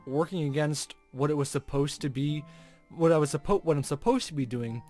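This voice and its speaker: A man's voice: mid-range voice, a little mellow. A man hosting a gaming video, reading a visual novel aloud expressively with informal commentary.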